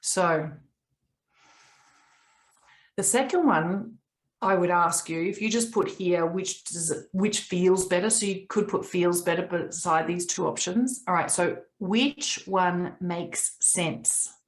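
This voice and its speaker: A woman in her late forties, raised in Papua New Guinea, coaching a group on a video call in an earnest, reflective way with long pauses. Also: lowish voice.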